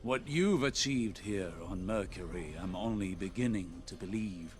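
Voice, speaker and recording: An actor playing a grave, world-weary warrior prophet in a video game cutscene, speaking in a solemn, deliberate, ominous way. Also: male voice, deep voice. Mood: grave, foreboding.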